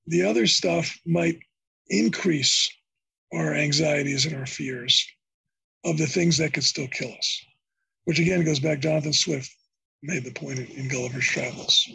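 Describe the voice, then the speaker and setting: An older man with a gravelly voice, speaking as a guest in a podcast interview and explaining his ideas thoughtfully, often restarting his phrases. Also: a little high, fairly bright tone, rough recording. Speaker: interview guest, psychology professor.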